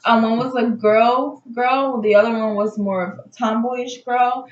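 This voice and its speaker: A woman's voice: on the high side, steady tone, measured pace. Woman, casual storytime vlogger, conversational and rambling, roomy echo, slightly muffled lo-fi audio. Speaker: YouTube vlogger telling a personal story. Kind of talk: casual storytime chat to camera.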